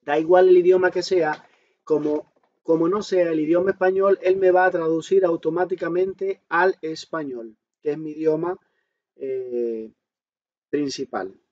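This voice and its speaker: A man with a soft, mellow voice pitched a little high teaches smartphone use step by step. He explains as he demonstrates, posing questions and then answering them. The sound is a dull, lo-fi voice-over. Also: fairly even intonation, measured pace. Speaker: instructor. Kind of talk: step-by-step smartphone tutorial, talking while demonstrating. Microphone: voice-over on a screen recording.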